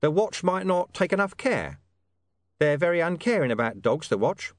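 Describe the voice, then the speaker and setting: Man putting on a high, shifty talking-dog informant. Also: sing-song, normal speed, dry recording. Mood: sly, conspiratorial. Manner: streetwise, evasive, menacing slang. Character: shady, wheedling talking dog posing as mysterious informant.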